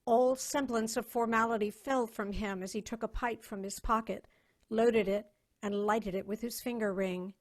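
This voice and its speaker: A woman narrating a scripted dramatic story in a measured, storytelling manner. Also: a little high, fairly even intonation. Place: online video call reading.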